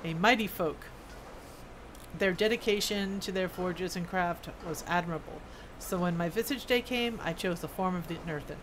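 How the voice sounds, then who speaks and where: A woman's voice: fairly low pitch, moderate pace, background noise, voice pushed down, lightly acted. A woman voicing a proud, folksy old male dwarf blacksmith from a fantasy game. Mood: reminiscing. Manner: folksy, proud, reading scripted dialogue.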